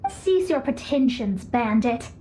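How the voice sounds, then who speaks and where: High-voiced, haughty young lady astrologist. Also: expressive, measured pace, dark tone, a little hiss or hum. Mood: confrontational, confident. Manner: pompous, theatrical, formal wording. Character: proud young female astrologist, haughty self-styled master. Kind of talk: scripted video game voice acting. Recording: game music under the voice.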